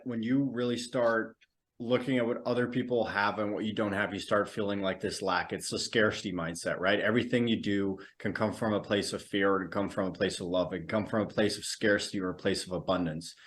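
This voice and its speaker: Low-voiced Dutch man, reflective guest. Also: fairly even intonation, fairly quick. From Netherlands. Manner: reflective, explanatory. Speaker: podcast guest. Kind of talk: conversational interview answers.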